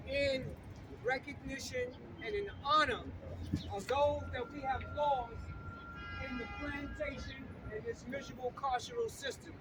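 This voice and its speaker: An older man speaking at an outdoor protest rally, emphatic and repeating his lines for the crowd to echo. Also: very high-pitched. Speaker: rally speaker and campaign co-director. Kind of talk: impassioned protest rally speech. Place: outdoors on a city street. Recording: distant mic with loud street traffic.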